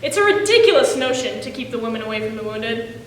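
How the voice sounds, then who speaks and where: Woman doing fed up determined civil war nurse, high voice, onstage in echoey hall. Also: big pitch swings, quick pace. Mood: indignant. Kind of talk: readers theater monologue. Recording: echoey hall, distant, faint noise.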